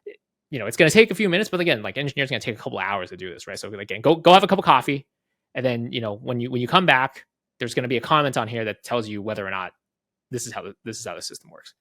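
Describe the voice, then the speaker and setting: A man casually walking through a software demo off the cuff, conversational, often checking in with tag questions and voicing little exchanges. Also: mid-range voice, lively intonation, very fast, no room sound, studio quality. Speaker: guest product leader giving a software demo. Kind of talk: casual off-the-cuff product walkthrough.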